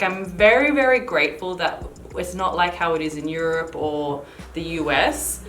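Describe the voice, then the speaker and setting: A chatty woman in her thirties with a lowish voice, talking loosely and filling her sentences with asides. She is recorded in an echoey room with a faint hiss. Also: a bit bright. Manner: chatty, uses many fillers. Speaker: co-host. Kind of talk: casual chat.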